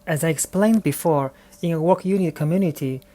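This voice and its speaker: Chinese woman in her thirties, non-native English, low warm voice, reflective podcast monologue. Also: a little fast. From China. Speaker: podcast host. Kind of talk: personal storytelling monologue.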